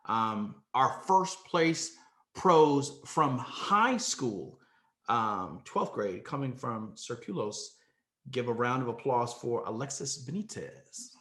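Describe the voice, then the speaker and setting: A man emceeing a live literary event, speaking in a bright, forward voice. His delivery is halting and full of ums, and it rings around an echoey room. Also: mid-range voice, lively intonation.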